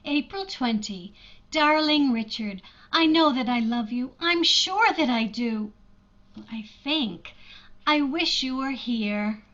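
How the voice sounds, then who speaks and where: A woman's voice: high-pitched, lively intonation, slow pace. A woman acting in a 1940s-style radio drama as a flustered, lovestruck schoolteacher in her mid-thirties, hesitant and fretful, on a slightly muffled recording. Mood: dreamy, unsure. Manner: hesitant, fretful, breathlessly apologetic.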